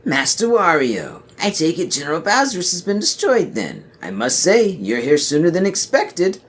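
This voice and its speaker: A theatrical villain chancellor in a parody audio play, voiced by a man. His pitch is raised well up, and he drags out words with sly, taunting menace. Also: wildly swooping pitch, normal speed, husky, exaggerated voice. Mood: smug menace.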